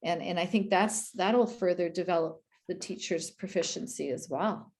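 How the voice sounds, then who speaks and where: A woman from Quebec, a school administrator, speaking earnestly in a panel discussion with a conversational, halting flow. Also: on the low side, darkish tone. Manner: earnest, conversational, many restarts.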